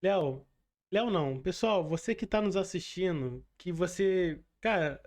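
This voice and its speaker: A man's voice: sits high, a little slow, a little mellow. A Brazilian man speaking as a podcast host, casual, colloquial and effusive.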